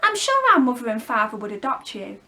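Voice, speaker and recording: Woman acting a kind, high-voiced little girl. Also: sing-song, fairly quick, bright, forceful tone, exaggerated voice. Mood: eager. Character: young girl, kind, polite and a little timid.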